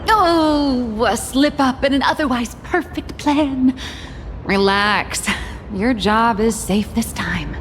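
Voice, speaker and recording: A woman's voice: a little high, slow, a little hiss or hum. A woman voicing a breezy, cheeky female rogue author in a video game, playful and gossipy.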